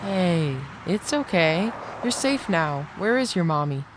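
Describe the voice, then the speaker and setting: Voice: mid-range voice, big pitch swings, takes it slowly, noisy background. A performer playing a protective, level-headed boy, a big brother, in an audio drama. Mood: concerned.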